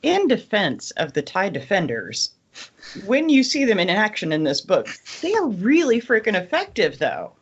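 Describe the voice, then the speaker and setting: A female co-host makes her case in a relaxed group discussion. She is blunt and informal, leaning on words for emphasis. Her audio is slightly dull and lo-fi. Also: mid-range voice, normal speed, breathy edge. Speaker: podcast co-host. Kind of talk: casual panel discussion.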